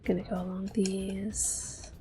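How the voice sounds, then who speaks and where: A woman giving gentle, unhurried ASMR gameplay commentary in a soft, whispered voice, reassuring and close to the microphone. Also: medium pitch, flat delivery, faint music behind. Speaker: ASMR gaming host.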